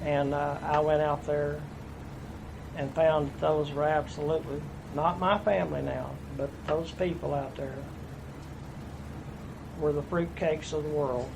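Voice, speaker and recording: A man's voice: on the high side, steady tone, measured pace, vocal fry. A man in his fifties with an East Tennessee accent, telling his life story plainly in an oral history interview. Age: 50s. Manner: plainspoken, folksy storytelling with restarts. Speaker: interviewee, veteran. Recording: noisy room recording.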